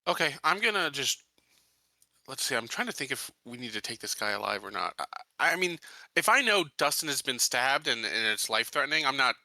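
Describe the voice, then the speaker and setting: A male player in a casual tabletop game session. His voice is strikingly bright and tense, at a middle pitch. The recording is dry, with no room sound. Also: very animated, fairly quick, dry recording.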